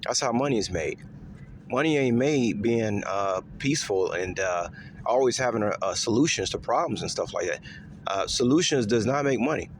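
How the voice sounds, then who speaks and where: A man talking in a casual, rambling monologue to his viewers, repeating himself with lots of fillers and letting his voice swing higher and lower. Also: animated, normal speed, a little hiss or hum. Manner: pitch swings up and down. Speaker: vlogger.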